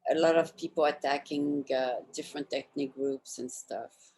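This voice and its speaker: Low-pitched woman. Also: fairly even intonation, moderate pace, darkish tone, slightly rough, low-quality recording. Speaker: talk show host. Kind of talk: casual conversational interview.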